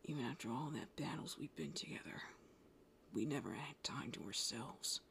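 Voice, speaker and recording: A woman playing a gruff, prickly but secretly tender young male half-demon in a roleplay spoken to the listener, brusque with a low, gravelly voice. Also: lively intonation, normal speed, in a whisper, heavily acted. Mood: reluctant, tender. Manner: gruff, brusque, softening into breathy murmurs. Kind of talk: roleplay audio addressed to the listener.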